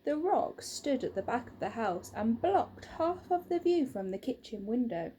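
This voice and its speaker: A woman narrating a children's storybook in a measured, expressive way. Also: a little high, slight background noise. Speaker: storybook reader.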